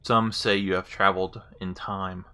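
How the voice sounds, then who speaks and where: A man's voice: deep voice, little pitch movement, moderate pace, sharp tone, clean tone. A man demonstrating a game voice assistant, giving spoken commands and questions with casual commentary.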